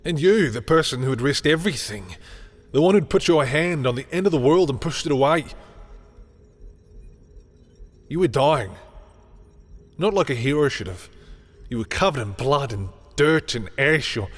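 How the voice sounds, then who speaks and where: A man playing a lovestruck, bitter villain in an intimate audio roleplay, speaking in a halting, emotional way. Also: fairly low pitch, slightly rough. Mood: anguished. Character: lovestruck, bitter male villain confessing to a rival.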